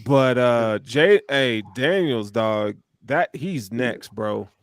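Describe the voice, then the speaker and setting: Man, mid-pitched voice, close dry sound, sports podcast co-host, emphatic, slangy, casual. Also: animated, slow, dry recording.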